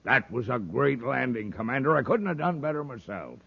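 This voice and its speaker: An actor playing a blustery, boastful old space captain with a folksy drawl, stammering and repeating himself in a 1950s radio serial. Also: male voice, medium pitch, animated, normal speed, vocal fry, husky, no echo. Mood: sheepish, boastful. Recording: noisy, muffled 1950s radio recording.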